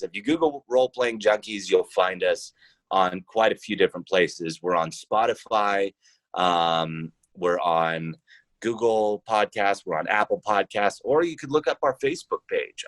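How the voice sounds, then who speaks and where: A man's voice: fairly low pitch, no echo, poor audio. A man speaking as an interview guest, casual and reflective, hesitantly thinking his answers through aloud. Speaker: podcast guest, show creator.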